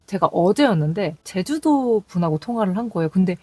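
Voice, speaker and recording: A woman's voice: medium pitch, expressive, a little fast, dark tone, slightly rough, no echo. A young woman talking candidly about her call center work in a casual vlog, with a Seoul accent. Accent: Seoul Korean. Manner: chatty, candid storytelling with quoted callers. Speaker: call center agent sharing work stories.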